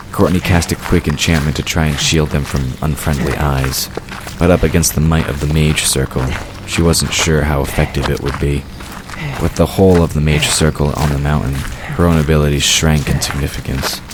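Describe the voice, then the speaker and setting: Very deep-voiced male fantasy narrator. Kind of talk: scripted fantasy audio drama narration. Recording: clearly noisy background ambience. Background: outdoor ambience sound effects.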